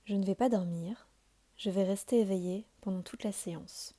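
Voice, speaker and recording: A woman leads a guided relaxation as a yoga instructor. Her voice is warm and mellow, sitting at a middle pitch. She delivers each instruction calmly and deliberately, leaving soothing pauses between phrases. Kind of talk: guided meditation, measured scripted instruction.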